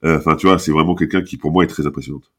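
A man's voice: rumbling low, fairly even intonation, very fast, a bit bright. Talkative man with a stuffy cold. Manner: talkative, rambling with many restarts. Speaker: podcast guest, basketball camp founder. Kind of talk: casual interview talk.

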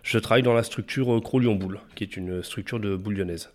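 A man's voice: lowish voice, quick pace. A man in his thirties answering interview questions plainly, with many hesitant fillers. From France. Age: 30s. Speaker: guest.

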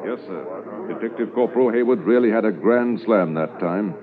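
A man playing a seasoned, proud police officer recounting old cases in a measured way in a 1950s radio drama. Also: lowish voice, a crowd in the background, natural voice. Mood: proud, reflective. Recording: tinny 1950s radio recording.

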